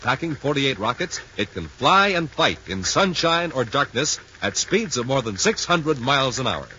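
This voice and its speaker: A dull, faintly noisy fifties radio recording carries an American man announcing. His bright voice moves in lively swings, with dramatic, punchy emphasis. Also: medium pitch, normal speed. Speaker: announcer and narrator.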